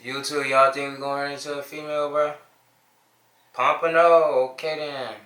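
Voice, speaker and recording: A man's voice: on the high side, level tone, normal speed, roomy sound, poor audio. Slangy young Midwestern American man. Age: 20s. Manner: slangy, joking, sings and shouts at times. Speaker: streamer chatting with strangers. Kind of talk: casual video chat.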